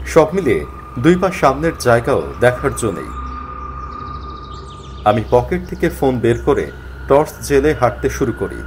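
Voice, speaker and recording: A man narrating a spooky first-person story in an expressive, dramatized way. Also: medium pitch, measured pace, pauses often, slight background noise. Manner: expressive, acts out the character's worried thoughts. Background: faint music.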